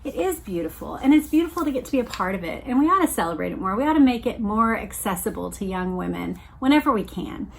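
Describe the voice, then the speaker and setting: A middle-aged woman hosting a podcast, speaking in a measured, deliberate way as she reads aloud and reflects. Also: mid-range voice, sing-song, creaky, a little hiss or hum. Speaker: podcast host reading a book aloud and commenting. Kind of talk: reflective solo podcast talk mixed with read-aloud passages.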